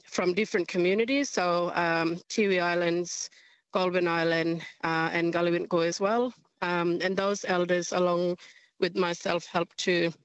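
Woman guest on radio, lowish voice, lots of ums and pauses, dry muffled sound. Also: dry recording. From northern Australia. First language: a local clan language. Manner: halting, many ums, thoughtful. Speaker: guest, language teacher. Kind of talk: radio interview.

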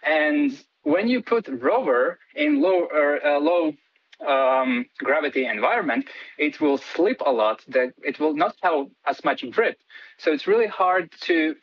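A man's voice: a little high, expressive, unhurried, dull audio. A man from Latvia, a PhD student guest on a radio interview, talking hesitantly with many fillers and restarts.

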